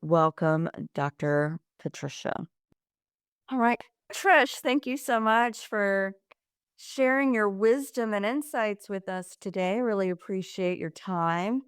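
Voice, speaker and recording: A woman's voice: mid-range voice, takes it slowly, clear, resonant tone, no room sound, clean studio sound. A woman hosting a podcast, friendly and upbeat as she introduces her guest and asks questions. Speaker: podcast host. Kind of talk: casual host intro and interview.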